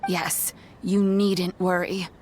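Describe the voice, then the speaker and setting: A woman voicing a kindly, proud elderly granny in a video game, with a gentle aged voice and an affectionate, chiding way of talking. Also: fairly low pitch, little pitch movement, slight background noise. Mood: reassuring. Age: older. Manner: unhurried, affectionate storytelling tone.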